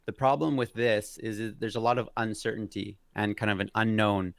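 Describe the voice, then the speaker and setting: A man's voice: on the low side, steady tone, normal speed, creaky, no room sound, studio quality. A man in his thirties, a guest on a video call, talking hesitantly with many fillers and restarts. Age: 30s. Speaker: guest mountain biker. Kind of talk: casual interview chat.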